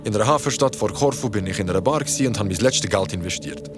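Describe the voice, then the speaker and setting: A man's voice: on the low side, quick pace, loud music behind the voice, close to an everyday voice. An actor with a Swiss accent playing a grumpy, reluctant young man in an audio drama. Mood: reluctant, narrating. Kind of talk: naturalistic audio drama acting.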